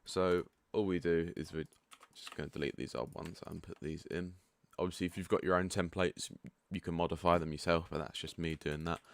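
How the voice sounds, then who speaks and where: Man, developer type, deep croaky morning voice, easygoing tutorial delivery, dry close sound. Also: a little fast, few pauses, no room sound. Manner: relaxed and chatty, frank asides. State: croaky, just woken up. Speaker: product developer giving a setup tutorial. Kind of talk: casual screen-share walkthrough.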